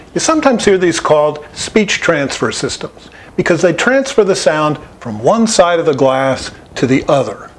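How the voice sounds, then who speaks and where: A man giving a scripted instructional narration, explaining each step plainly as an instructor. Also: a little high. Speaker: instructor presenting an installation tutorial.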